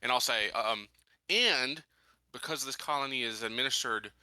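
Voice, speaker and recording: A man talking casually as a player in a tabletop roleplaying game, thinking aloud in a deliberate, halting way. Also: mid-range voice, pushed and bright, smooth, no room sound. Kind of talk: casual in-character and out-of-character game talk.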